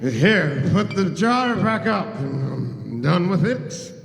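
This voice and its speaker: A man acting a halting, creaky older druggist. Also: high-pitched, sing-song, soft background music, full character voice. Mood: distracted, grieving. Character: gruff, distraught, muddled older male druggist. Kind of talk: live radio play acting. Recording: echoey reverberant hall.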